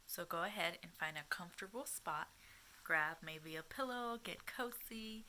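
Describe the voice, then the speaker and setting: A woman reading a storybook aloud to young children, expressive and child-directed, pausing often and addressing her listeners. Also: fairly low pitch, a little hiss or hum, rough recording.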